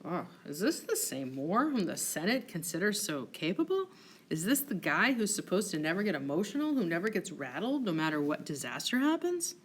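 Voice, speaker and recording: A woman's voice: on the low side, sing-song, fairly quick, slight background noise. Woman playing an earnest, courteous nobleman. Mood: shocked disbelief. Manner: polite, questioning, earnest.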